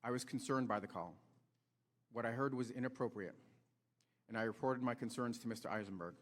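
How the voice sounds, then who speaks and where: A man reading a prepared statement as a witness, in a formal, deliberate way. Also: medium pitch. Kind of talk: prepared statement read aloud. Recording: reverberant hearing room.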